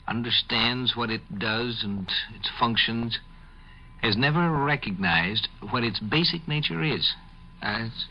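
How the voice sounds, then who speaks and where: A man hosting a radio drama series, giving reflective commentary in halting, deliberate phrases. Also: on the low side. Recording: muffled vintage 1950s radio recording.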